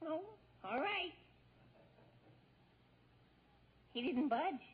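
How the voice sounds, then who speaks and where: A man's voice: very high voice, animated, unhurried. A man playing a whiny, balky, wisecracking teenage boy who complains plaintively in 1940s radio comedy. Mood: reluctant. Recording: tinny 1940s radio broadcast, audience noise.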